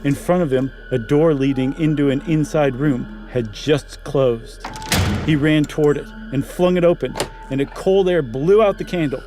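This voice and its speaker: A man's voice: fairly high pitch, moderate pace. A man co-hosting and reading a horror story dramatically, with casual commentary. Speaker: podcast host and story reader. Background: music.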